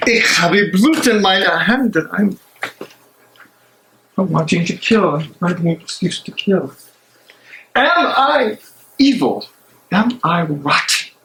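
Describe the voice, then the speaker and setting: A man in a dramatic stage reading playing a tormented, guilt-ridden German theologian, speaking haltingly and theatrically. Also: sits high, expressive, sharp tone, heavily acted, low-quality recording. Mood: anguished self-reproach.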